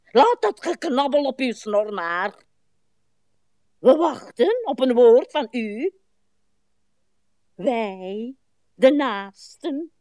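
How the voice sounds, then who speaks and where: An actress playing a weary, indignant old wife in a radio play, with imperious, melodramatic, drawn-out phrasing. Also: female voice, on the high side, dark tone, dry recording, clean studio sound.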